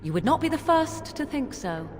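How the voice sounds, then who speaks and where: A woman playing a haughty, aloof, sharp-tongued young woman in a fantasy video game, imperious and formal. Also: sits high, very animated, normal speed. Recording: reverberant.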